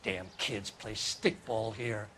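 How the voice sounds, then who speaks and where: A man's voice: fairly low pitch, slow pace, sharp tone, breathy edge, heavily acted. An actor playing a cranky, nosy old male neighbor, grumbling and salty, in a video game cutscene. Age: older.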